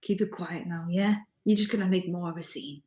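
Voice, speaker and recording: A man's voice: high-pitched, brisk, a little mellow, lightly acted. A woman voicing a blunt, bossy adult man who swears casually and keeps others in line during a tabletop game. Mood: warning. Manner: curt, dismissive, swears casually. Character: gruff adult male tough, bossy and blunt. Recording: roomy echo.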